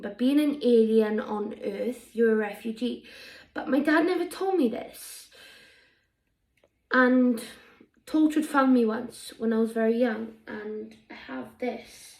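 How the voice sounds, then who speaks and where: A woman improvising as an earnest sixteen-year-old alien girl with a Welsh accent, telling her past in a halting, reflective way. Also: on the high side, gravelly, echoey room, low-quality recording. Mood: wistful. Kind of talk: improvised in-character monologue.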